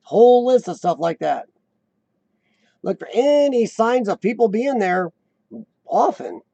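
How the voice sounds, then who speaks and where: Squeaky, gravelly-voiced man in his forties. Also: expressive, dark tone, no room sound. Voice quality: high-pitched squeaky voice. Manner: clears throat often, halting restarts. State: voice worn from lung illness and coughing. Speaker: host of a live chat show. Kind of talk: casual live-stream chat reading viewer comments.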